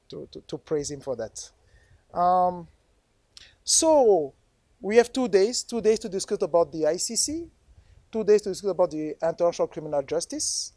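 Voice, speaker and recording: Halting man with a French accent. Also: a little high, mellow, no room sound. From Congo. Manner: halting, repeats words and restarts phrases. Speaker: keynote speaker. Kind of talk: formal conference speech.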